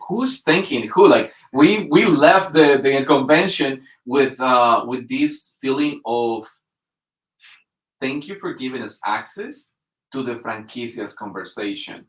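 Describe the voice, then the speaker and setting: A man, a Spanish speaker, talks as a guest with animated enthusiasm. He is miked from a distance in a roomy space. His voice sits at a mid pitch. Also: normal speed. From Colombia. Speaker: guest entrepreneur. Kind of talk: casual interview.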